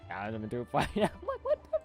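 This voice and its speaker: A man's voice: medium pitch, wildly swooping pitch, quick pace, a little mellow. Goofy gamer guy echoing game lines, voice jumps all over, music faint. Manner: goofy, mimicking lines, laughing. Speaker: gameplay streamer. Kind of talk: playful commentary over a game.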